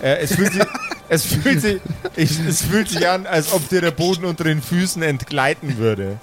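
A man's voice: fairly high pitch, moderate pace, soft background music. A man, casually improvising narration as game master of a tabletop role-playing game.

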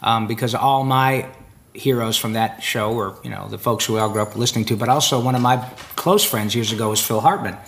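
A man's voice: mid-range voice, brisk, slight background noise. A man chatting in an interview, telling long stories with lots of fillers and restarts. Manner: animated, rambling storyteller with many fillers. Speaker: guest voice actor. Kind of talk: casual interview chat. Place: convention floor.

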